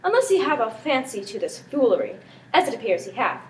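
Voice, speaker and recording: Excitable Shakespearean prince, played by a student girl, highish voice, amateur stage verse, off-mic with faint noise. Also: fairly quick. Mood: playful teasing. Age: teenager. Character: teasing, excitable prince, a grown nobleman. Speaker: student performer. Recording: distant mic with background noise.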